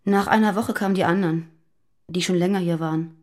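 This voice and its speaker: A woman's voice: on the low side, fairly even intonation, fairly quick, a little mellow, close to an everyday voice. Woman acting guarded, fearful fugitive woman, confiding. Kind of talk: naturalistic radio drama acting.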